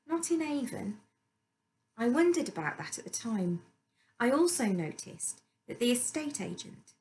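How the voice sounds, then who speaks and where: Woman reading a story, noisy recording. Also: a little high. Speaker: storyteller reading a book aloud. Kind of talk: scripted story narration.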